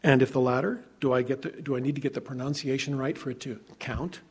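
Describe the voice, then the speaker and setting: A man preaching a sermon, reading scripture aloud and explaining it conversationally, shifting between loud emphasis and quiet asides. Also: medium pitch, fairly even intonation, quick pace, slight background noise. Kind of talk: sermon, Bible teaching.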